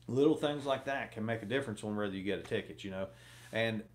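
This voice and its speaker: A man in his thirties talking casually to camera from a small home office, rambling with many fillers while giving fishing advice. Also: on the low side. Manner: rambling, lots of fillers, gestures a lot. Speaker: fishing vlogger.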